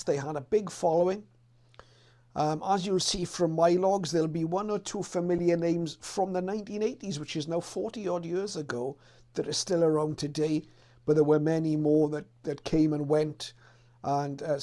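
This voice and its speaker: A middle-aged man explaining and reminiscing about his hobby to viewers, in a casual, halting, thoughtful way. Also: fairly high pitch, warm tone. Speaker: radio hobbyist presenter.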